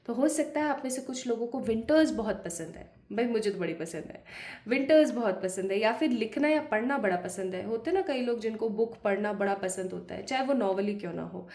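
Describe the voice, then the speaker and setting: A woman with a cold, sounding a bit hoarse, giving a chatty tarot reading and talking straight to her viewers. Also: fairly high pitch, lively intonation, a little fast, dark tone, roomy sound. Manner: chatty, addresses viewers directly, mixes English phrases. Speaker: tarot reader. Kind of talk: pick-a-pile tarot reading to camera.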